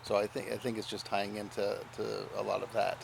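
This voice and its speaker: A man's voice: on the low side, flat delivery, fairly quick, gravelly, background noise. A middle-aged man, co-owner of an inn, chatting affably and often repeating words as he talks. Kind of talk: casual conversation.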